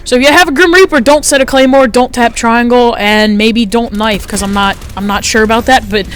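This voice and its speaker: A teenage boy with a light, high-sounding voice gives relaxed, rambling commentary over a match replay. He wanders between points and leans on fillers. Faint noise sits under the recording. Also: fairly quick. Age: teens. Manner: chatty, rambling, frequent fillers. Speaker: gameplay commentator. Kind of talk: casual gameplay commentary.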